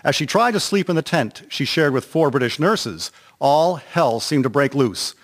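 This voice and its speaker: A man narrating a historical radio drama from a script in a measured, formal reading. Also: a little high, animated.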